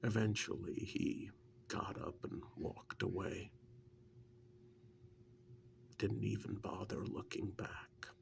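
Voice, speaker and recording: A man playing a witch's assistant in an ASMR role-play, softly telling his story in an earnest, wary, hesitant way with a breathy, gravelly voice. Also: fairly low pitch, fairly even intonation, a little hiss or hum, heavily acted. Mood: haunted, hushed. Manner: hesitant, unhurried first-person storytelling.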